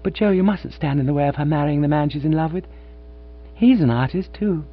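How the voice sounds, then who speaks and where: A man's voice: fairly high pitch, fairly quick, breathy edge, pitched above the performer's own voice. A man voicing a middle-aged woman, a former actress, pleading and tremulous, in a storybook reading. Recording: dull lo-fi with mains hum.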